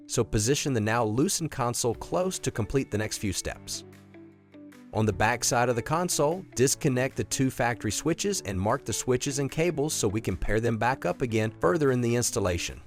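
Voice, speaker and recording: A man reading scripted instructional narration in a clear, methodical, step-by-step way, as the narrator of an installation video. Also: mid-range voice.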